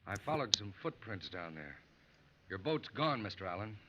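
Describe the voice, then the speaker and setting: Forceful American radio lawman. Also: on the low side, very animated, normal speed, full character voice. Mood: urgent report. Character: brisk, forceful male lawman on a manhunt. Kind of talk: 1940s American radio acting. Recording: faintly noisy 1940s radio recording.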